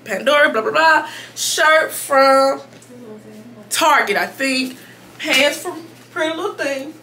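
A woman's voice: high-pitched, takes it slowly, sharp tone. A young woman in her twenties talking casually and playfully to her viewers with slang, filming herself at home. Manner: laid-back, slangy, playful. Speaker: vlogger. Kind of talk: casual vlog chat. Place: small home bathroom. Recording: background noise.

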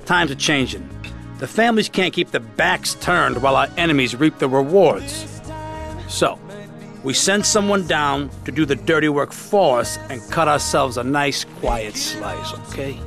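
A man playing a middle-aged, domineering, smooth-talking mob boss in a video game cutscene, with a gravelly voice and pushy tag questions. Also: medium pitch, lively intonation, measured pace, a bit bright, faint music behind. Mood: scheming.

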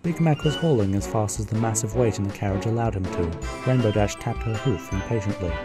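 A storybook narrator for a cartoon radio play, telling the scenes plainly and evenly. The voice sits at a middle pitch. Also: fairly quick, over loud music.

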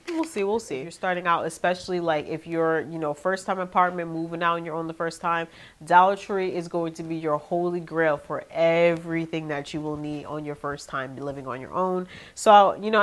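A young woman with a nasal, congested voice chatting to her viewers about her shopping haul in her living room. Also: lowish voice. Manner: chatty, animated, talks to viewers directly. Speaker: vlogger. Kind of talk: casual haul video chat.

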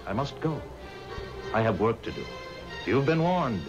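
An actor playing a solemn ghostly stranger in a 1970s radio drama, giving slow, ominous warnings. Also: male voice, medium pitch, big pitch swings. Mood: ominous, grave. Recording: muffled old radio recording with music.

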